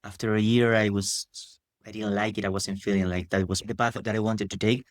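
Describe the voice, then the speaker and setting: A man's voice: lowish voice, level tone, a little fast, no room sound, clean studio sound. A man, a podcast guest explaining things at length and rephrasing as he goes, often adding "no?" at the end of a thought. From Spain. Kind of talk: casual interview answers.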